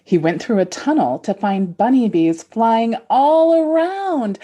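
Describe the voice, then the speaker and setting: A woman playing an earnest storybook narrator, giving a measured, dramatic fairy-tale reading. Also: on the high side, mellow, pitched above the performer's own voice, exaggerated voice.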